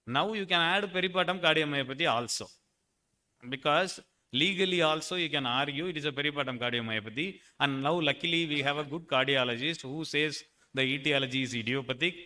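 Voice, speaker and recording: A man with a forceful, bright voice leads a medical panel discussion. His pitch sits a little high for a man. He talks with a wry, joking edge as he presses his panelists for answers. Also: brisk. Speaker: panel moderator.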